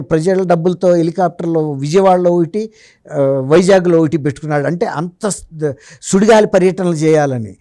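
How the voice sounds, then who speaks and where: Higher-pitched, mellow man. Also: no room sound, clean studio sound. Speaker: journalist giving political analysis as interview guest. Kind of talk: conversational political commentary.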